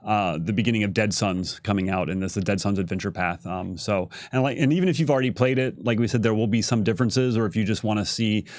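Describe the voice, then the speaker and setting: A man talking casually and a little haltingly as a creative director on a livestream Q&A. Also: on the low side, a little mellow, dry recording, clean studio sound.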